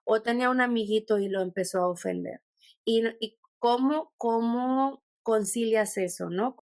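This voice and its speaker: Gravelly Mexican woman, thoughtful. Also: on the high side, normal speed, no room sound, clean studio sound. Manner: thoughtful, meandering, with many restarts and fillers. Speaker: podcast guest. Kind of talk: conversational podcast interview.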